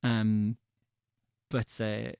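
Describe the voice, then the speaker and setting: A man's voice: fairly low pitch, little pitch movement, very slow, creaky, a little mellow, smooth, no echo, studio quality. A man who grew up in Scotland, chatting on a live stream in a self-deprecating way, often repeating his words. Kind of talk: casual chat on a live stream.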